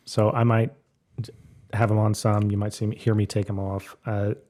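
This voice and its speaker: Laid-back low-voiced man co-host. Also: level tone, moderate pace, a little mellow. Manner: laid-back, hesitant, many fillers. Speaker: podcast co-host. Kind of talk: casual chat.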